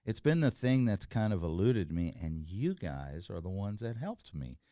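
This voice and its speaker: Deep-voiced earnest man on a call. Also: big pitch swings, moderate pace, warm tone, dry recording, studio quality. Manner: earnest, rambling, many fillers and restarts. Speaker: guest, entrepreneur. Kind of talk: casual interview chat.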